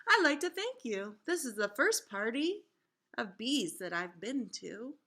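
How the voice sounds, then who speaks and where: A woman voicing a polite, earnest young storybook boy who talks deliberately. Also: on the high side, very animated, pushed and bright, heavily acted.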